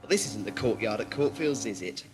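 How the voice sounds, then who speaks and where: Wry British schoolboy, played by a man: reluctant, doubtful, politely sarcastic, animated intonation, audio drama. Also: on the low side, fairly quick, close to an everyday voice. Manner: hesitant, dryly sarcastic. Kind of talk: amateur audio drama acting. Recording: music under dialogue, home-made cassette drama.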